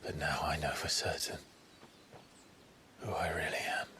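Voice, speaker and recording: A man playing a grim, remorseful young warrior in a video game cutscene, speaking solemnly and deliberately. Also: a bass voice, level tone, noisy background. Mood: remorseful, resolute.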